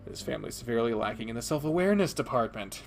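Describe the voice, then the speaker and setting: A man's voice: mid-range voice, lively intonation, rapid-fire, creaky, faint music behind. Man as a modest, wry young athlete. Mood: dry. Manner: modest, hesitant, dryly wry.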